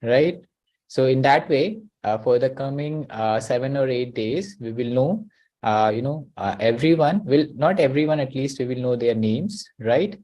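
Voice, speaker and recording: A man's voice: mid-range voice, normal speed, mellow. A man hosting an online class over a video call. He talks hesitantly, with many uh and you know.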